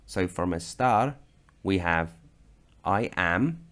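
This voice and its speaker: A man from Britain narrating a slideshow grammar lesson, explaining slowly and deliberately. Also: sits low, animated, a bit bright. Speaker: language teacher.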